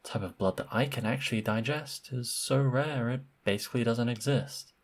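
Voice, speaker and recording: A man's voice: medium pitch, normal speed, lightly acted. A man voicing an obsessive vampire in an ASMR roleplay, polite and insinuating, with a possessive, menacing undertone. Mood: confiding, intent. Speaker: voice actor in audio roleplay.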